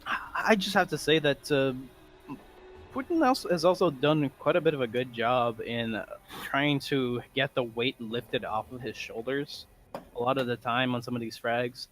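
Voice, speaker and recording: A man's voice: mid-range voice, normal speed, a bit bright, rough recording. Portuguese-accented male esports caster. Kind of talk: live esports commentary.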